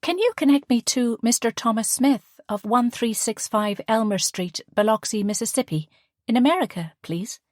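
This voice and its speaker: A woman's voice: fairly high pitch, lively intonation, moderate pace, no echo, clean studio sound, pitched above the performer's own voice, lightly acted. A woman voicing a polite, nervous young married woman who speaks hesitantly.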